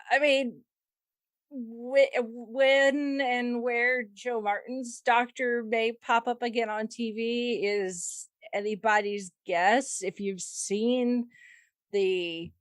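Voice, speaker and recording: A woman's voice: medium pitch, smooth, no echo, clean studio sound. A woman hosting a podcast, reading out fandom news in a casual, wry and playful way with chuckles. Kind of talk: casual chat.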